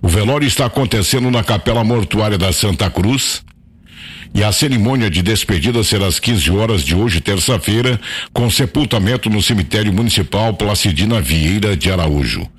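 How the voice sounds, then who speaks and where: Male announcer, lowish and raspy, formal scripted notices. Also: animated, normal speed. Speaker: radio announcer.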